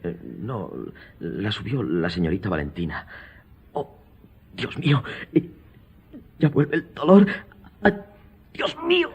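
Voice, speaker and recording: An actor playing a devoted, suffering old male servant, husky and breathy, with weak, halting speech in a radio drama. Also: on the low side, wildly swooping pitch, highs cut off, full character voice. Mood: in pain.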